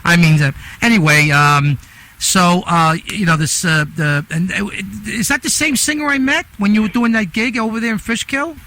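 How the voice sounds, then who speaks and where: Male radio host, teasing, jokey, excitable, higher pitch, bright tone. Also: normal speed. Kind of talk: joking radio interview.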